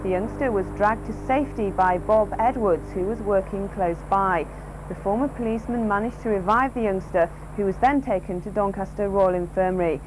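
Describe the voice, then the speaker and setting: A woman's voice: medium pitch, normal speed. A woman reading a scripted regional news bulletin as a newsreader. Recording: noisy old TV broadcast, faint hum.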